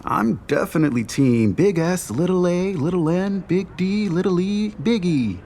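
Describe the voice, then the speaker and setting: An actor playing a steady, supportive male friend in a naturalistic romantic-comedy audio drama. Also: sits high, normal speed, a little hiss or hum. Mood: playful.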